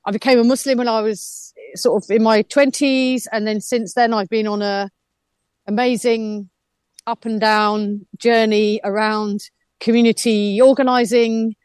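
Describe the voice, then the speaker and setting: A woman's voice: a little high, steady tone, measured pace, a little mellow, smooth, no room sound. A woman in her fifties, a reflective, chatty guest on a radio interview who laughs easily. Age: 50s.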